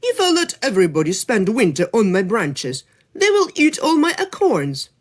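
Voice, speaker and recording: A woman's voice: medium pitch, huge pitch swings, moderate pace. A woman voicing a mighty, stingy oak tree in a storybook tale, refusing to share his branches. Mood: scornful. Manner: scornful and stingy.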